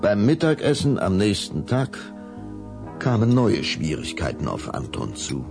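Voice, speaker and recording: A man's voice: on the low side, huge pitch swings, unhurried, over music. A man narrating a children's audio drama in a dramatic storybook style.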